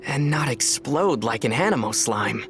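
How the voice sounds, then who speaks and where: An actor playing a calm, dry young male traveler from other worlds in a video game. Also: mid-range voice, moderate pace, lightly acted. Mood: wry. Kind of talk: video game dub dialogue. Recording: game audio with soft music behind.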